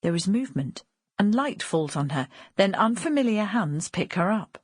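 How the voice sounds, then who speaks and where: A woman's voice: mid-range voice, sing-song, moderate pace, darkish tone, breathy edge, no room sound, clean studio sound. A woman reading a dramatic novel as scripted audiobook narration.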